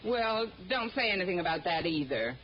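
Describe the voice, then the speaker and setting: A woman plays a sardonic, wisecracking schoolteacher in an old radio comedy. Her delivery is dry yet swoops widely in pitch, on a muffled vintage broadcast. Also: lively intonation, slight background noise, lightly acted. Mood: teasing. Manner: dry, sardonic, quick-witted. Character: wry, wisecracking adult female schoolteacher.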